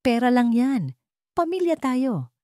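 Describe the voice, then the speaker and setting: A woman's voice: on the high side, big pitch swings, unhurried, mellow, dry recording, clean studio sound, pitched above the performer's own voice. Innocent young wife for an audio melodrama, played by a woman narrator: vulnerable, pleading, trembling, closely recorded. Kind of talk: dramatic Tagalog storytelling.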